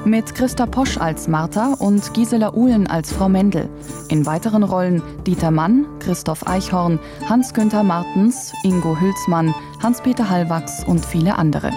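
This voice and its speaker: A woman's voice: medium pitch, normal speed, music in the background. A woman reading scripted title and cast announcements for a radio play.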